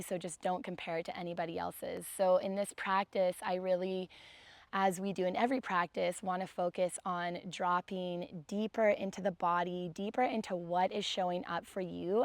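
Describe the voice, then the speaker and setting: A young woman with a raspy voice, teaching a guided yoga class in a calm, gentle way. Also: fairly low pitch, no room sound. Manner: calm, gentle guidance with soft sighs.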